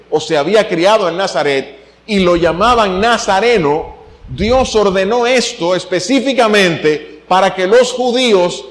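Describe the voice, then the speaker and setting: A middle-aged man from the Dominican Republic with a Dominican accent, preaching a sermon as pastor, his voice swinging upward to stress a point. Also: sits high. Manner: animated preaching, voice swinging up for emphasis.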